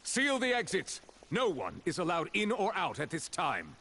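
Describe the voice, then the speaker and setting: An actor playing a composed, formal man of high authority in a video game, speaking in a calm, measured way. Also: heavily acted. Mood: commanding. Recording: game audio with music behind.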